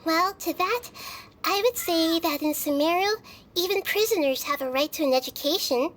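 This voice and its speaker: A woman voicing a small, serene goddess of wisdom in a video game, speaking in measured, formal, philosophical sentences. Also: very high-pitched, animated, a bit bright. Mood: serene, wise.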